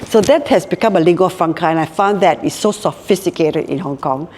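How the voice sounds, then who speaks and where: Woman, Singaporean accent, older, low-pitched, thoughtful, faint background hiss. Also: fairly quick, a little mellow, big-room sound. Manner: reflective, repeats words while thinking. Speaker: guest, hotel group co-founder. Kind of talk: casual interview talk.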